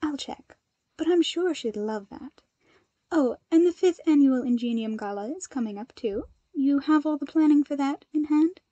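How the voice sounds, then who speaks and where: A woman's voice: high-pitched, lively intonation, normal speed, mellow, no room sound, highs cut off, voice pushed up. A woman voicing a poised, friendly and serene young woman hero, polite and composed.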